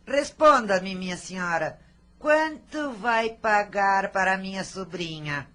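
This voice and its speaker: A woman playing a bossy, spiteful, miserly aunt in a radio drama, with a sharp, scolding and demanding manner. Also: mid-range voice, expressive, takes it slowly. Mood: haggling.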